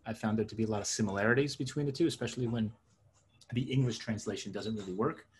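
A man's voice: on the low side, fast talker, slight background noise. A middle-aged man from Israel and New Jersey, a native Hebrew speaker, answering interview questions conversationally and reflectively, repeating phrases for emphasis. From born in Israel, raised in suburban New Jersey. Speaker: interview guest, tech consultant and coach.

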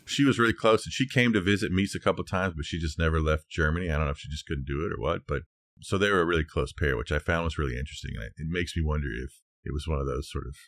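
A man's voice: extremely low pitch, vocal fry, no echo, studio quality. A man co-hosting a podcast, chatting casually and informally with wry asides. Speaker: podcast co-host. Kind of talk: casual conversational discussion.

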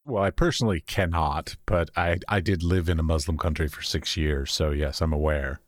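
Male host, very low voice, dry brief interjections, dead-dry sound. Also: steady tone, moderate pace, no room sound. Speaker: podcast host. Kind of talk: conversational interview.

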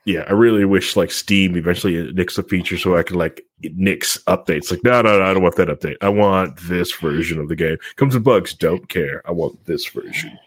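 A man's voice: sits low, moderate pace, breathy edge, dry recording. A man chatting casually about video games, repeating phrases and acting out imagined exchanges. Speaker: podcast co-host.

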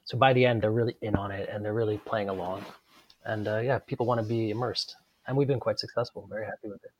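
Guy answering interview questions, calm and matter-of-fact, a bit of dry humor, dry close mic. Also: lowish voice, fast talker, no echo, lo-fi sound. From Netherlands, raised abroad. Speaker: guest game designer.

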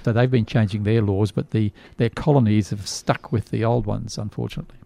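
A man's voice: on the low side, steady tone, brisk, vocal fry, warm tone. An older man, chatting opinionatedly as a radio co-host. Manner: opinionated, restarts often. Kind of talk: casual radio chat.

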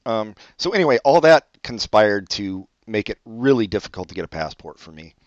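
A man's voice: fairly low pitch, very animated, brisk, dry recording. A man co-hosting a podcast, chatting casually in a dry, rambling way and swearing offhand. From born in Brazil, raised in California. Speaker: podcast co-host. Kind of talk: casual comedic chat. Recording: dull dry lo-fi audio.

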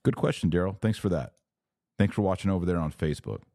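A man's voice: low voice, quick pace, warm tone, no room sound, studio quality. A man hosting a podcast, giving religious commentary and answering viewer comments in an emphatic, didactic way with rhetorical questions. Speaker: podcast host.

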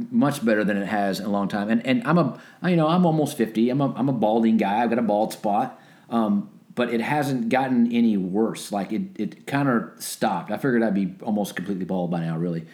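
A man in his late forties co-hosting a health podcast, relaxed and joking with wry asides. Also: fairly low pitch. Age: 40s. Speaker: podcast co-host. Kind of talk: casual health podcast chat.

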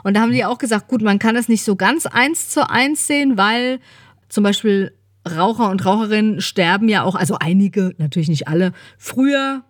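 A woman's voice: mid-range voice, moderate pace, no room sound. A woman co-hosting a podcast, chatting in a casual, informal way into a close microphone. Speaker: podcast co-host. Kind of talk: casual chat.

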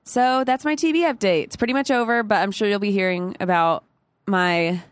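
A woman's voice: mid-range voice, fairly quick, no echo. A young woman with a head cold, co-hosting a casual podcast, chatty and full of "like" fillers and laughs. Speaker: podcast co-host. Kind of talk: casual chat.

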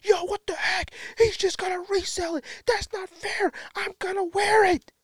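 Man playing an outraged young woman in a podcast anecdote, very high pitch, loud complaining delivery. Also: level tone, moderate pace, dry recording. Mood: indignant. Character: indignant young woman yelling from a queue.

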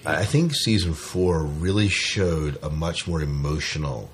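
A man's voice: rumbling low, measured pace, slight background noise. A man co-hosting a podcast, talking casually about a TV series. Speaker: podcast co-host. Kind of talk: casual chat reviewing a TV series.

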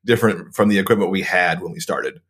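A man speaking as a podcast guest, giving practical advice in a relaxed way, with frequent ums. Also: low voice, fast talker, husky. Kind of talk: casual interview chat.

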